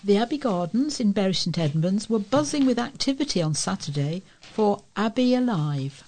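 A woman reads prepared local news as a volunteer newsreader. Her voice is of average pitch, and she follows a written script item by item. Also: expressive, normal speed, darkish tone. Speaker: volunteer newsreader for a talking newspaper.

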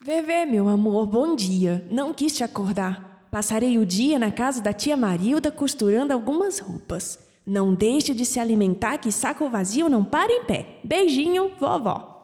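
A woman's voice: on the high side, lively intonation, normal speed, few pauses, a little mellow, natural voice. An actress playing an affectionate, homely grandmother, tender and motherly, in an audio drama voice-over. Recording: long reverberant tail.

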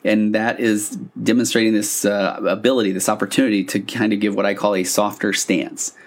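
Guy with a lowish voice doing a casual podcast monologue, explaining stuff conversationally. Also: flat delivery, brisk. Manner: conversational, acting out example dialogue lines. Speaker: podcast host.

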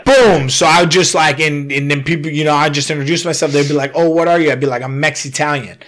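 A man's voice: fairly high pitch, steady tone, fast, noisy background. A young man telling a story in casual, profane podcast chat, full of slang and fillers. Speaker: guest telling his fight story.

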